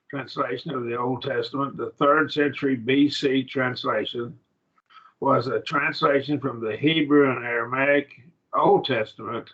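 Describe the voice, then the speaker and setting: A man teaching a college-level Bible study class in a plain, halting lecture with restarts and asides. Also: medium pitch, a bit bright, highs cut off.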